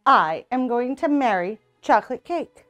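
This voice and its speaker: A woman's voice: fairly high pitch, lively intonation, unhurried, a bit bright, soft background music. Woman doing a stubborn, whiny little girl bunny. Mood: stubborn, emphatic.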